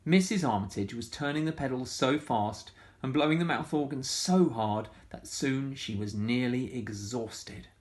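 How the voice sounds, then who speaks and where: A man reading a picture book aloud to schoolchildren, animated and full of sound effects. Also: mid-range voice, a little slow, hardly any pauses. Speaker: storytime reader for schoolchildren.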